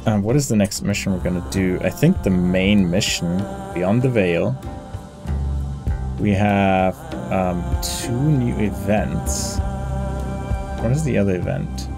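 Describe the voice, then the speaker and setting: Male gamer, lowish voice, casual think-aloud commentary over clear background music. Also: a little slow, pauses often. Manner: mutters through options, reading game text aloud. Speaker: gamer hosting a playthrough. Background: game music.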